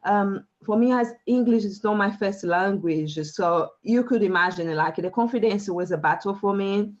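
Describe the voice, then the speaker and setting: A woman from Brazil with a Brazilian Portuguese accent, whose first language is Portuguese, speaking earnestly as an interview guest with many pauses and fillers. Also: medium pitch, dull audio. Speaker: guest, career coach. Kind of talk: faith-centered interview answers.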